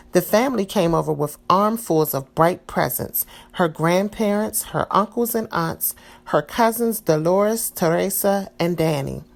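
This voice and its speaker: A woman reading a children's storybook aloud in a calm, expressive way. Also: lowish voice, vocal fry. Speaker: storybook reader.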